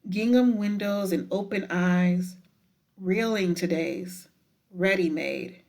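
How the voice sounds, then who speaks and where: A woman guiding a meditation in a deliberate, unhurried manner with frequent pauses. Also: medium pitch, a little mellow. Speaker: professor guiding a breathing meditation. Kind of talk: guided meditation with spoken poetry.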